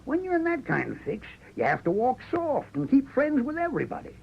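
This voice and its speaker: A raspy, high-pitched timid rancher. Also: male voice, huge pitch swings, brisk, heavily acted. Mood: pleading, wary. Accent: American. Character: cautious, cowardly small rancher, worried old-time Western father figure. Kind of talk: 1940s Western radio acting. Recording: muffled old 1940s radio recording.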